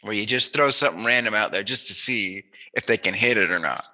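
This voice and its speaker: Playful male interviewer with a very bright voice. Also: fairly low pitch, brisk, low-quality recording. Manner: playful, jokey, musing. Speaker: interviewer, host. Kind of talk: casual joking chat interview.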